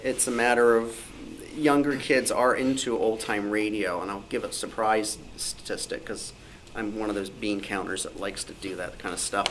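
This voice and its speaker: A man's voice: fairly low pitch, fairly even intonation, moderate pace, hardly any pauses, fairly bright tone. A man speaking off the cuff as a panelist at a club meeting of radio hobbyists. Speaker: panelist, old-time radio fan. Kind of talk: unscripted panel discussion. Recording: noisy live meeting room recording.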